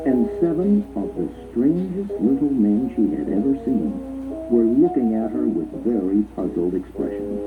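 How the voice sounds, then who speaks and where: A man reading scripted children's story narration as an expressive, dramatic storyteller. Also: mid-range voice, normal speed. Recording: muffled phone-like audio with music behind.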